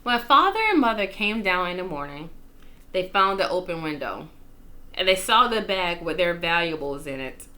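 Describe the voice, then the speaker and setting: A woman reading a children's story aloud in an expressive, playful, dramatic manner, with casual asides. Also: fairly low pitch, moderate pace. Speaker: storytime reader.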